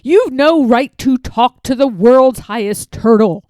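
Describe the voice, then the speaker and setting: A bossy, puffed-up turtle king performed by a woman proclaims in storybook rhyme. The delivery is imperious and thundering, with big swoops in pitch and a measured pace. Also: expressive, a little mellow, no room sound. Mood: indignant, defiant. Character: pompous, bossy, boastful male turtle king. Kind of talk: rhyming storybook read-aloud.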